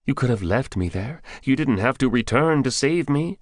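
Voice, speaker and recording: A man's voice: mid-range voice, lively intonation, normal speed, dry recording, studio quality, pitched above the performer's own voice, natural voice. A man voicing a frightened young male village storekeeper in an audiobook, anxious and pleading. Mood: wondering. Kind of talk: audiobook character voice.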